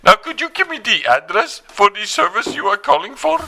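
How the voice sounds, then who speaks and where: A man's voice: high-pitched, very animated, brisk, creaky, crowd noise, heavily acted. A man playing an obliging, maddeningly formulaic call-center agent in a comedy phone sketch, over-polite and repetitive, on noisy audio. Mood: formulaic, apologetic.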